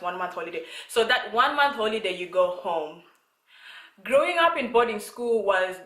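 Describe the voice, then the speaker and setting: A woman's voice: mid-range voice, a bit bright. Young woman from zimbabwe just chatting to camera, lots of likes and ums, kinda roomy echo. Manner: chatty, rambling with many fillers and restarts. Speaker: vlogger. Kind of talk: casual talk to camera. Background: child talking in the background.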